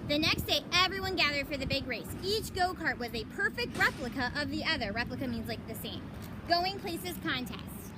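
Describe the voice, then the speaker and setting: A woman's voice: sits high, animated, moderate pace, heavy background noise. A woman teacher reading a children's picture book aloud expressively, breaking off for chatty asides to explain things. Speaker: elementary school teacher reading to pupils.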